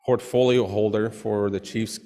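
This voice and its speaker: A man who is a chief, giving a formal presentation to an assembly in a loud voice with many pauses. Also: on the low side, fairly even intonation. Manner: loud, pausing often. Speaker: chief and languages portfolio holder. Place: large assembly hall. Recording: long hall reverb.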